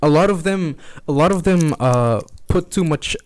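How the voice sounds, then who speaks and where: A young man as a podcast guest, chatting casually in a measured, deadpan way. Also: fairly high pitch, dry recording.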